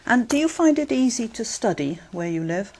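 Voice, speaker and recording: Lively-voiced woman examiner. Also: fairly high pitch. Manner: measured, clear prompting with brief acknowledgements. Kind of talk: scripted exam questions.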